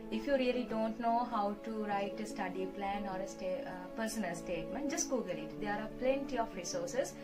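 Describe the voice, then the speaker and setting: A woman from Sri Lanka, giving earnest advice as an interview guest and repeating her key points. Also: medium pitch. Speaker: scholarship recipient guest. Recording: distant mic with loud background music.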